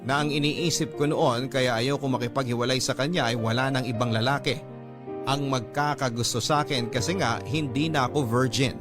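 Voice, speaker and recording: A man's voice: mid-range voice, steady tone, moderate pace, lightly acted. A man with a Filipino accent narrating a young woman's love story in the first person, as a confiding radio drama host. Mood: regretful, confiding. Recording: prominent music bed.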